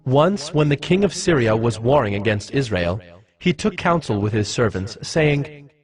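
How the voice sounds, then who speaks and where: A man reads scripture as a narrator, solemn and measured. His voice sits at a middle pitch, with a clear musical bed behind it. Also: very animated, music in the background. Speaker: Bible narrator.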